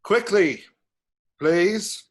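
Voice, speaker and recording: A man's voice: high voice, animated, extremely slow, exaggerated voice. A man playing a wry, unbothered village desk sergeant in an amateur script reading. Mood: calling out. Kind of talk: amateur screenplay table read.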